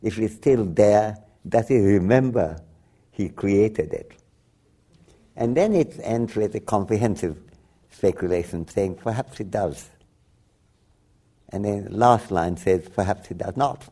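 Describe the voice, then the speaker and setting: An older man, a native Bengali speaker from Bangladesh, talking reflectively as an interview guest, often restarting and laughing softly. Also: on the low side, lively intonation, a little slow, pauses often, slightly rough. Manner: rambling with frequent restarts and soft laughs. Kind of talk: reflective conversation.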